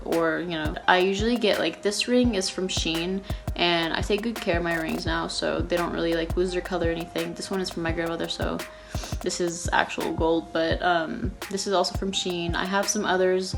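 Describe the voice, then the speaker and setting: A nineteen-year-old woman from Texas chatting casually in a Q&A vlog, rambling with lots of fillers and restarts. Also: fairly low pitch. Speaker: YouTuber.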